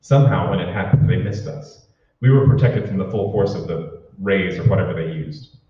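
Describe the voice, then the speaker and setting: A man's voice: low voice, a little fast, lightly acted. A man playing an anxious, furtive conspirator in an old-time radio drama, with a hesitant, secretive delivery. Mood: urgent, conspiratorial. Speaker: amateur stage actor. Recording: roomy, distant, dull hall recording with hiss.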